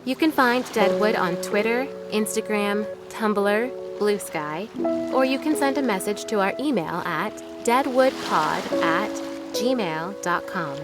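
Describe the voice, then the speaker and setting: A woman's voice: mid-range voice, lively intonation, takes it slowly. A woman reading scripted podcast credits as an announcer. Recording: loud music bed behind voice. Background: loud music.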